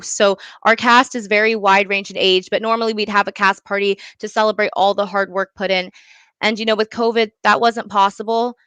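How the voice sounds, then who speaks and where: Young female guest, bright and expressive, chatty storytelling delivery, close dry sound. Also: mid-range voice, clean tone, no echo. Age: young adult. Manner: chatty, expressive, frequent "like" and "you know". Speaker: guest, young playwright and director. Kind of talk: casual interview storytelling.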